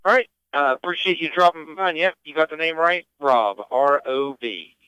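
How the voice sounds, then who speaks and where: Bright, very clear man moderating ham radio. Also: on the high side, normal speed, no room sound. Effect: amateur radio transmission. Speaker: radio net control host. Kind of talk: casual moderating of radio check-ins. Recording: dull band-limited radio audio.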